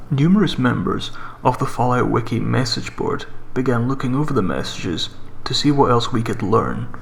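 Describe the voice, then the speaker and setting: Man narrating a creepy story, creaky voice. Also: medium pitch, slight background noise. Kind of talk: scripted horror story narration. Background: music.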